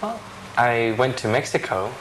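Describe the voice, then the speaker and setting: A man's voice: medium pitch, big pitch swings, moderate pace, fairly bright tone, a little hiss or hum, poor audio. Matter-of-fact man answering questions. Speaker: dialogue reader answering questions. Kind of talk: scripted practice dialogue.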